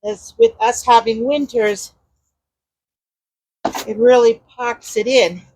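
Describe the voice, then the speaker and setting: A woman chatting loudly while she works in her garden, explaining her steps as she digs. Her mic is clipped to a nearby trellis, and she rambles and hunts for words. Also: fairly high pitch, steady tone, slow pace, a little hiss or hum, rough recording. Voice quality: loud voice. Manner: talks while digging in the garden. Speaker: gardening vlogger. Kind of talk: casual how-to narration while working. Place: outdoor garden.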